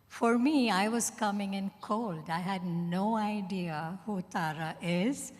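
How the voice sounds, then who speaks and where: Female author, unscripted conversational talk, mid-pitched voice, recorded in an echoing hall. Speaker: fiction writer, guest speaker. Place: event hall at a hotel. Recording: echoey hall with long reverb.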